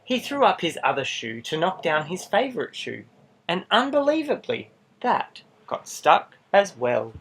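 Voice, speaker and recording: Expressive man reading a children's storybook. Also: sits high, slight background noise. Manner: expressive, dramatic pauses and playful exclamations. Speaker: storybook reader. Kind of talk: animated read-aloud of a children's picture book with asides to young listeners.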